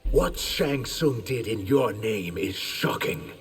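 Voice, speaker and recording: A man's voice: lowish voice, lively intonation, slow pace. A man playing a grave, loyal servant of the crown in a fantasy video game, speaking formally and with deference. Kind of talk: video game dramatic acting. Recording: long reverb, prominent music behind.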